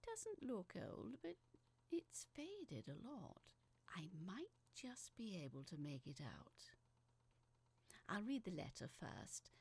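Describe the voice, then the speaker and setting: A woman playing a pensive narrator in an audio drama, talking softly and hesitantly to herself as she records. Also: medium pitch, wildly swooping pitch, takes it slowly, gravelly, husky, heavily acted. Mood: hushed, pensive. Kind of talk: audio drama monologue, reading aloud.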